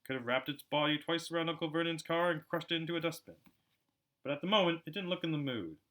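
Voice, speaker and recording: A man reading a storybook aloud as an amateur narrator, in an expressive style. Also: a little high, fast talker. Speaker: amateur audiobook reader.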